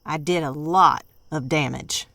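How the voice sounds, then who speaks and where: A woman voicing a caring, worried Southern mother who speaks in a low, earnest drawl with a Southern American accent. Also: unhurried, gravelly, a bit bright, no room sound, heavily acted. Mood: worried, pleading.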